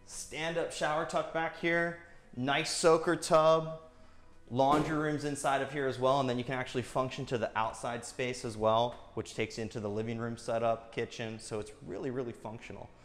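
A man in his thirties leads a real estate tour, his voice medium in pitch. He talks in an upbeat sales patter, firing off features in short bursts as he walks through the house on camera. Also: steady tone, moderate pace, faint music behind. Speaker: real estate agent giving a home tour. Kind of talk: enthusiastic walkthrough tour. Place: inside and around a single-story house.

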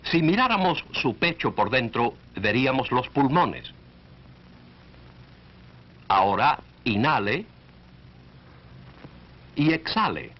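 A man's voice: mid-range voice, sing-song, takes it slowly, pauses often. A man narrating a 1940s educational film in an instructive way, speaking directly to the listener. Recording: dull, band-limited 1940s film soundtrack.

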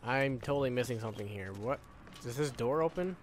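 A man comments casually on a video game as he plays. His medium-pitched voice moves up and down a lot as he mutters questions to himself, over faint game sound. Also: animated, fairly quick, a little hiss or hum. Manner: muttering self-questions, mildly sweary. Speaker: gaming YouTuber. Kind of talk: live let's play commentary, casual.

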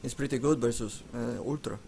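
A man's voice: medium pitch, brisk, creaky, mellow, a little hiss or hum. A man casting a strategy game match in casual commentary, joking and often restarting his words. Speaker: esports commentator.

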